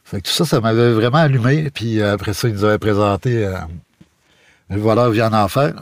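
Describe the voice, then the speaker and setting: A man's voice: fairly low pitch, a little fast, no echo, clean studio sound. A man with a Quebec French accent narrating casually and personally, in a colloquial, hesitant way. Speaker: visual artist introducing his guest.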